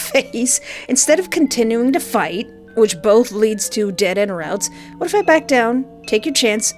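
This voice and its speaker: A woman reading a visual novel aloud, with playful, animated joking asides between the narration. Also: medium pitch. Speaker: let's play streamer.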